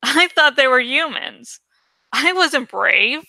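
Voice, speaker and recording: A woman reads aloud in an expressive, informal way, like a host sharing a favorite story. Her voice is fairly high, bright and very clear, and she takes her time. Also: slow pace, dry recording. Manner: informal, self-correcting. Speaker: amateur audiobook reader and channel host. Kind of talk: expressive story narration with casual asides.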